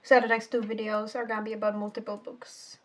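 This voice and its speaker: A woman's voice: medium pitch, level tone, a little fast, a little hiss or hum. A teenage girl whose first language is Czech, casually reviewing a book in a rambling, self-correcting way with casual swearing. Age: teens. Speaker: book vlogger.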